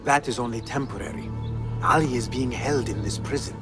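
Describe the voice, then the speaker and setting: A man performs a young rebel assassin for a video game, earnest and determined. His phrasing is measured and formal, at an average male pitch. Music plays clearly behind him. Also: natural voice. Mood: resolute. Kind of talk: video game cutscene acting.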